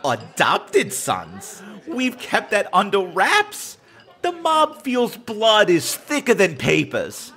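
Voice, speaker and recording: An actor playing a blustering mobster gambler, brash and excitable in a comedy audio drama. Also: male voice, high voice, takes it slowly, full character voice. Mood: wistful. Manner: excitable, brash, tough-guy slang.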